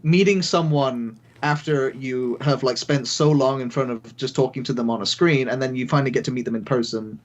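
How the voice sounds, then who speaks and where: A man's voice: medium pitch, fairly quick, hardly any pauses, lo-fi sound. A man with a British accent, a podcast guest telling stories casually and a little hesitantly. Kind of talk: casual chat.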